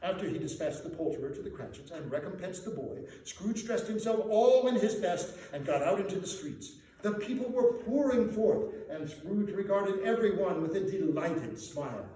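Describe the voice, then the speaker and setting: A man giving a theatrical, expressive dramatic reading as a storyteller. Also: sits high, moderate pace, few pauses. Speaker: storyteller, stage performer. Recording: very echoey room, distant mic, faint noise.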